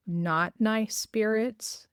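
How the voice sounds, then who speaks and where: A woman's voice: medium pitch, steady tone, drawn-out words, clear, resonant tone, dry recording, studio quality. A woman hosting a podcast, chatting casually and telling personal stories with enthusiasm. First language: bilingual in Greek. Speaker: podcast host. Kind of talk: casual interview chat.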